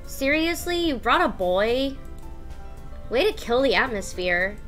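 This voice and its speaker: A woman voicing a small, moody, sharp-tongued schoolgirl in a visual novel read-aloud. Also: sits high, sing-song, unhurried, soft background music, full character voice. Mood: snappy, sour.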